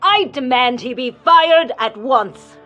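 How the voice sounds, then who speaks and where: An imperious elderly widow. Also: female voice, high-pitched, expressive, slow pace, bright, forceful tone, lo-fi sound, faint music behind, exaggerated voice. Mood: commanding. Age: 70s. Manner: commanding, indignant. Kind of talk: radio drama acting.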